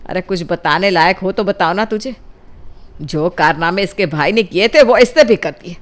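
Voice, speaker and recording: A woman voicing a strict middle-aged mother in an audio drama, scolding and threatening in a forceful way. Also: on the low side, normal speed, natural voice. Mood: angry scolding.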